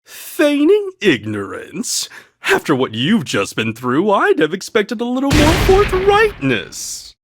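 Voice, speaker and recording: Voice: medium pitch, wildly swooping pitch, slow, noisy background, full character voice. An actor voicing a sly, courteous interrogator with a theatrical, probing manner in a video game cutscene. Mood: pressing, incredulous. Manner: mock-polite, probing, theatrical.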